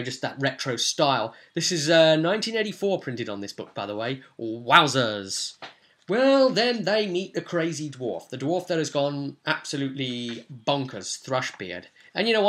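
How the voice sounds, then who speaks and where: Bright-voiced chatty man recapping a game. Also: mid-range voice. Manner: chatty, enthusiastic, informal slangy asides. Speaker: game master recapping his tabletop role-play session. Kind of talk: casual vlog recap, informal rambling.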